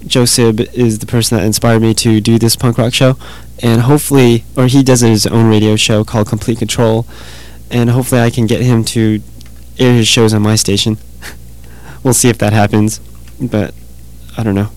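A man hosts a music radio show in a loose, rambling chat between tracks. His voice is worn and hoarse, and he keeps a flat, level tone with little rise and fall. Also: medium pitch, moderate pace. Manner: rambling, easygoing. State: losing his voice.

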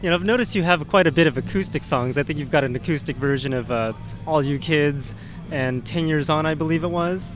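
A man hosting a punk radio show, interviewing a guest in a relaxed, chatty way and laughing often. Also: on the high side, fairly quick, hardly any pauses, over music.

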